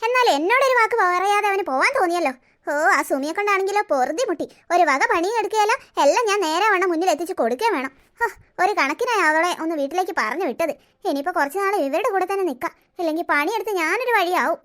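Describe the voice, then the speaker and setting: A woman putting on a grumbling old grandmother, scheming, sighing, complaining, quick-talking, bright and thin. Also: very high-pitched, animated. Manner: sighing, complaining, muttering to herself. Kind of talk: one-person family skit.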